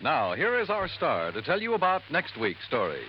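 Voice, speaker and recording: A man's voice: on the high side, wildly swooping pitch, normal speed. An American man announcing a radio show, reading in formal, scripted 1950s broadcast style. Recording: dull vintage radio recording, music behind.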